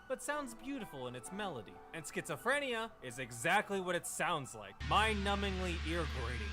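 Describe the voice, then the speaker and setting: A man reading a scripted video game review, mixing dramatic wording with crude jokes. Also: sits high. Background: music.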